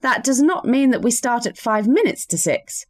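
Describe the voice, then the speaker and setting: A woman's voice: a little high, lively intonation, moderate pace, dry recording. A woman voicing a university professor welcoming new students, with dry, teasing humour, in a scripted listening-test recording. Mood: wry, welcoming. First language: Czech. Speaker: lecturer.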